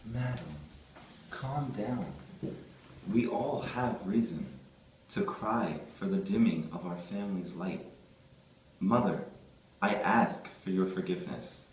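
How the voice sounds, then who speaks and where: Man doing a sly persuasive schemer, lowish voice, echoey room, distant mic. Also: takes it slowly, long pauses, darkish tone, a little hiss or hum. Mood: humble. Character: scheming smooth-talking brother in a crime family.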